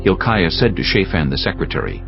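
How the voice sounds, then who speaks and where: A man narrating dramatized scripture in a solemn, deliberate, scripted style. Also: sits low, sing-song, gravelly. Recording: slightly dull audio with music bed.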